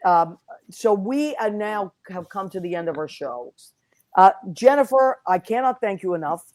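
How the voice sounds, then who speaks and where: A chatty woman hosting a casual talk show, effusive and warm toward her guest and viewers, whose first language was Italian. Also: medium pitch, lively intonation, normal speed, no echo. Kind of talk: casual chat.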